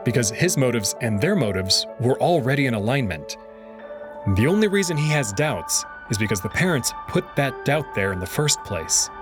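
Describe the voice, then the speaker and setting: Man, expressive story reader, lively rising and falling pitch, mid-range voice, music behind. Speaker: visual novel reader and host. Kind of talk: dramatic first-person story narration and commentary.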